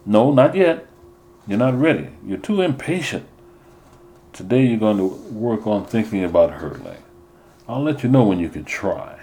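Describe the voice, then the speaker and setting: A man's voice: fairly low pitch, big pitch swings, moderate pace, dark tone, pitched above the performer's own voice. A man voicing a stern male track coach who gives firm, insistent instructions. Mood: instructive. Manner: commanding, insistent.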